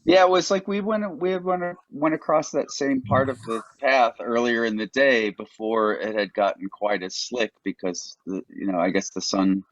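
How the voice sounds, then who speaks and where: A man talking as a guest over a video call, hesitant and halting, often repeating words. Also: mid-range voice, steady tone, dry recording, dull audio. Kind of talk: casual conversation on a video call.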